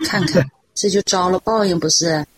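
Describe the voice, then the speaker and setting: A woman acting in a radio play as an outspoken, emotional bystander with a husky voice. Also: on the low side, normal speed, a loud crowd, natural voice, rough recording. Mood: scornful. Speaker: radio drama actor.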